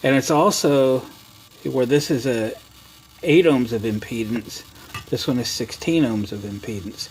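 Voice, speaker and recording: A man's voice: mid-range voice, measured pace, a little mellow. Casual American man with noisy background. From United States. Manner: unscripted, folksy, thinking aloud. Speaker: host of a repair how-to video. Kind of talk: casual hands-on demonstration. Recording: clear background noise.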